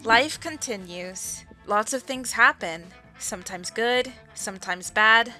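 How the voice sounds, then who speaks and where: A woman's voice: fairly high pitch, slow, pushed and bright, soft background music. A woman reading scripted narration for a visual novel style video game dub.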